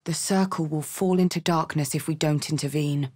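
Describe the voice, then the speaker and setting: Low-voiced, tough warrior woman. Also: steady tone, moderate pace, darkish tone. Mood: determined, wry. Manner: blunt, wry, casually sweary. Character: tough, brash female tiefling warrior adventurer. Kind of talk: video game character barks and remarks.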